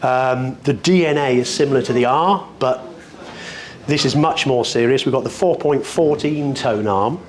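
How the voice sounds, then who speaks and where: A man's voice: medium pitch, moderate pace. A British man presenting products at a hi-fi show, talking off the cuff in an articulate, discursive, wryly enthusiastic way with florid wording. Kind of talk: unscripted product demonstration talk.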